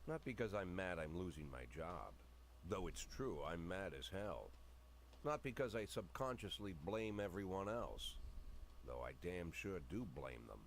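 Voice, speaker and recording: An actor playing a cynical, haunted middle-aged police chief in a brooding noir video game monologue. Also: male voice, sits low, fairly bright tone, a little hiss or hum, exaggerated voice. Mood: bitter, grim. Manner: brooding, confessional, measured. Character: world-weary, cynical male police chief, haunted and bitter. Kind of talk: dramatic noir game narration.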